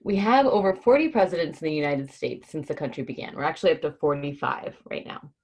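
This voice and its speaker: Woman w a low voice doing a kids book read-aloud on a livestream, chatty and informal, keeps it simple. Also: sing-song, fast talker, a little mellow. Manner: informal, explains hard words simply for children. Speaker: host reading a children's book on a livestream.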